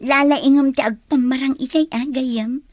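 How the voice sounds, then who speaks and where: A woman's voice: high voice, steady tone, moderate pace, darkish tone, dry recording, clean studio sound, natural voice. A woman playing a sly confidante who eggs on her scheming friend in a radio drama. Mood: gleeful, sly.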